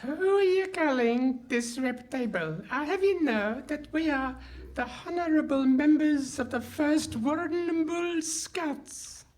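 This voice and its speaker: A woman's voice: high voice, animated, measured pace, darkish tone. A woman playing a naive, easily affronted boy scout in a comic live radio play, plaintive and earnest. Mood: indignant, earnest. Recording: noisy live hall stage recording.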